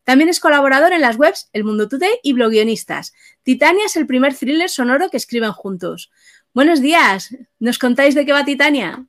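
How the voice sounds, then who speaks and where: A woman's voice: sits high, lively intonation, a little fast, fairly bright tone. A woman interviewing guests casually and reading out audience questions.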